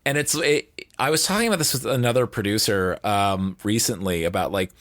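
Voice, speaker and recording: A man with a fairly low voice chats casually as a podcast guest who produces audio drama. His delivery is halting, with phrases started over, and the sound is very dry. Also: a bit bright, dry recording.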